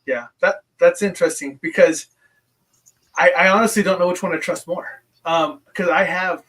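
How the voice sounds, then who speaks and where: A man's voice: high-pitched, moderate pace, fairly bright tone, distant mic, rough recording. A man hosting a casual interview podcast, talking hesitantly with many restarts and ums. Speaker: podcast host.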